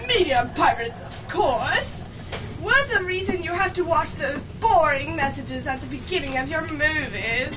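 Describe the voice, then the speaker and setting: A woman's voice: high-pitched, animated, measured pace, crowd noise. A woman playing an exasperated, bossy pirate leader in an amateur comic radio drama, with theatrical delivery. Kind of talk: amateur satirical old-time radio drama acting. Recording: muffled, very noisy, distant room mic.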